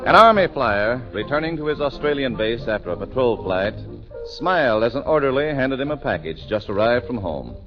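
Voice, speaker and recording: A man announcing a 1940s radio show with a polished, booming delivery. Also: very animated, normal speed, music in the background. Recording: dull old radio broadcast.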